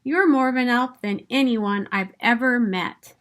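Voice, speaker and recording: A woman's voice: on the high side, measured pace, fairly bright tone. A woman reading a storybook aloud as Santa Claus, an earnest old man explaining his trouble.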